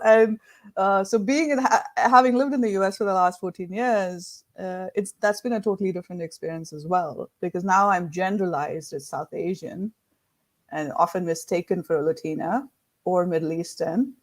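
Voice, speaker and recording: Woman hosting a podcast. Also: mid-range voice. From India. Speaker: podcast host.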